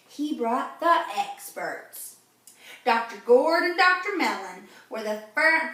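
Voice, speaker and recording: A woman's voice: high voice, a bit bright. A woman reading a children's storybook aloud expressively, exclaiming and putting questions to young listeners like a teacher. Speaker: reader of a children's read-aloud. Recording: very echoey room, distant mic.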